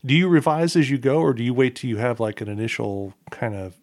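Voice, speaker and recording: A man asks an interviewer's questions in a halting way. He stops, restarts and fills gaps before getting to the point. His pitch is ordinary for a man. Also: expressive, a little mellow. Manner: hesitant, fillers before questions.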